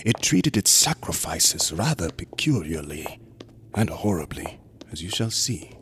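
A man's voice: low-pitched, big pitch swings, measured pace, a bit bright, heavily acted. A sinister, smug museum assistant in a horror radio play. He is oily and courteous, with a theatrical, widely swinging delivery that sinks into insinuating whispers. A faint noise sits behind the voice. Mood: menacing. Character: sinister, smug, unctuous museum assistant guarding macabre exhibits. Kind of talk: horror radio drama acting.

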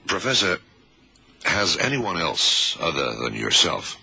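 Very deep, gravelly investigator. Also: male voice, sing-song, bright, forceful tone, full character voice. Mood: politely probing. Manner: deliberate questioning with murmured hums. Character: shrewd, methodical male FBI investigator leading a case. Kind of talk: radio drama acting. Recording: 1970s radio drama, faint noise.

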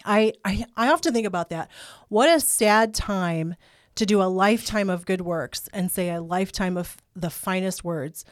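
A woman's voice: medium pitch, normal speed, no echo, studio quality. Chatty older woman podcast host. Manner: chatty, laughs and jokes, talks through a cough drop. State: has been sick, clears throat.

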